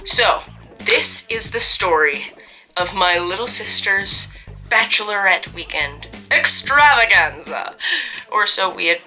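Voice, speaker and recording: A woman's voice: medium pitch, animated, slow pace, sharp tone, faint music behind, low-quality recording. A young woman from Canada telling a casual story-time vlog in a chatty, wry, self-deprecating way while getting over a cold.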